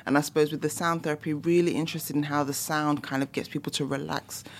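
A woman with a London accent, a guest musicologist talking in a casual, animated way with lots of fillers and laughs. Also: deep voice, quick pace. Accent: London English. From London, England. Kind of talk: casual interview chat.

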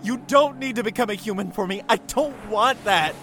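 A man's voice: high-pitched, a little fast, fairly bright tone, faint music behind. A man playing an earnest, tender young man in love, with a hesitant, heartfelt delivery in an audio drama. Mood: tender, pained.

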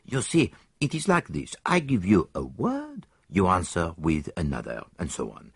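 A man's voice: low voice, huge pitch swings, moderate pace, slightly rough, no room sound, clean studio sound, exaggerated voice. A man voicing a shrewd, fussy Belgian male detective, speaking precisely and coaxingly, dropping in "eh?" and bits of French. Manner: precise, coaxing, tag questions like "eh?" and French phrases.

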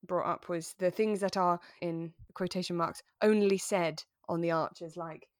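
Woman, slightly low pitch, chatty podcast co-host, giggly, very dry sound. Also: fairly even intonation, normal speed, no echo. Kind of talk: relaxed conversational podcast chat.

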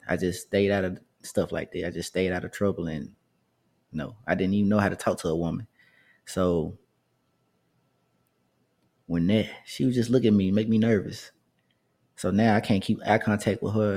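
Low-voiced man telling a personal story. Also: dark tone. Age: 30s. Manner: conversational, rambling with restarts and asides.

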